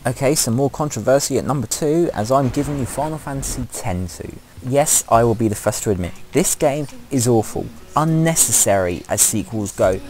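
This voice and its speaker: A man's voice: mid-range voice, animated, moderate pace, few pauses, darkish tone. A man presenting an opinionated gaming countdown as a voice-over commentary. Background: music.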